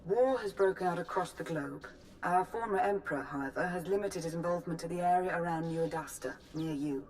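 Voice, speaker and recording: A woman playing a cool, calculating intelligence chief in a video game cutscene, measured, clipped and dry. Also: lowish voice, a little mellow, slight background noise, close to an everyday voice. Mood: grave briefing.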